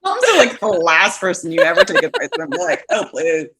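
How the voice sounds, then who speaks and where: A woman's voice: on the low side, wildly swooping pitch, fairly quick, a bit bright. A woman in her thirties, a guest coach talking earnestly and animatedly in a casual podcast conversation, full of fillers.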